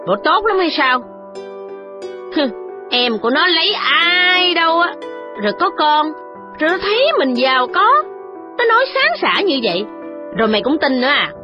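A woman's voice: high-pitched, very animated, unhurried, voice pushed up. A furious, domineering older lady, as a woman narrator puts her on. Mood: scornful. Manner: scolding, threatening, commanding. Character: domineering older woman, a canton chief's wife, quick-tempered. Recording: dull lo-fi audio with music.

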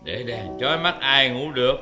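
An actor playing a weak-willed husband who coaxes his wife and then yells at her harshly. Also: medium pitch, normal speed, music in the background, lightly acted. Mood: coaxing. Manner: coaxing, then harsh yelling.

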